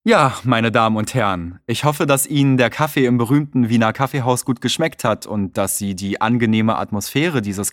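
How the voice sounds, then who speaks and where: Man, middle pitch, tour guide narration, friendly explanatory reading, dry close sound. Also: dry recording. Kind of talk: scripted narration.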